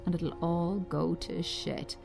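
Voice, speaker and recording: A woman's voice: fairly low pitch, steady tone, slow pace, creaky, over music. A woman voicing a menacing, jealous ex-boyfriend with a taunting, contemptuous manner, in a read screenplay. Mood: sneering contempt. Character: menacing, sneering, jealous abusive ex-boyfriend, adult man.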